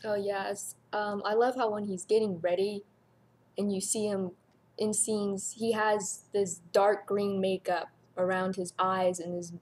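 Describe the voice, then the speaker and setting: A woman's voice: medium pitch, flat delivery, a little slow, a little mellow, a little hiss or hum. A woman co-host talking casually in a review discussion.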